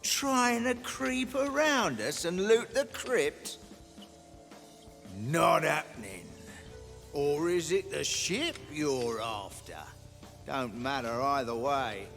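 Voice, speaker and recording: An actor playing a threatening, greedy gang boss of looters in a video game, gruff and menacing. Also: male voice, a little high, animated, takes it slowly, a bit bright, big-room sound, soft background music, exaggerated voice. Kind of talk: video game character acting.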